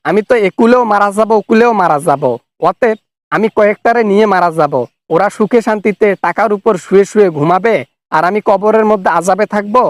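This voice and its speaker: Man voicing a high-pitched angry cartoon official on the run. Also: a little fast, no echo, lightly acted. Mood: angry, threatening. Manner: accusatory, threatening, colloquial. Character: angry, defiant fugitive male official, funny cartoon caricature. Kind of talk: comic cartoon dub, phone argument.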